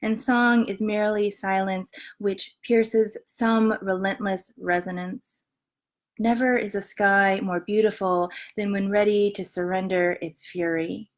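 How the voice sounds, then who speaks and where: A woman poet reading her poems aloud and talking softly between them, with many ums, on what sounds like a home webcam mic. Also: medium pitch, steady tone. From Montana and western Colorado, USA. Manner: soft-spoken, many ums, measured reading. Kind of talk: poetry reading with spoken introductions.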